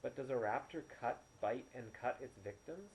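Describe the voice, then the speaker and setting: A man's voice: mid-range voice, animated, moderate pace, darkish tone, voice pushed up, lightly acted. A man reading a story, voicing an earnest woman doctor with a direct, questioning manner. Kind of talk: audiobook character dialogue. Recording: noisy, poor-quality home recording.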